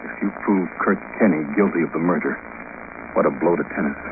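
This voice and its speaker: A man's voice: rumbling low, brisk. An actor playing an anxious, officious public relations man in earnest 1950s radio drama style. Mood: defensive, worried. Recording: scratchy, noisy, narrow-band 1950s radio recording.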